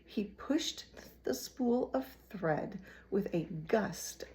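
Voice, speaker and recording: Expressive slow-paced woman reading a storybook. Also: mid-range voice. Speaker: children's book reader. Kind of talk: expressive storybook read-aloud.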